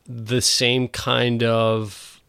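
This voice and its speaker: A man's voice: mid-range voice, little pitch movement, very slow, vocal fry, fairly bright tone, clear, resonant tone. A man hosting a podcast, asking questions in a conversational way. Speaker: podcast host. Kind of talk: podcast interview. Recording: music playing behind.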